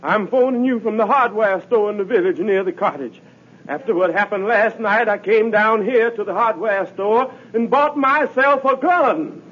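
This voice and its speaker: A man's voice: sits high, normal speed, slightly rough. An actor playing a shaken, earnest male tenant in a 1950s radio comedy. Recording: muffled old radio recording.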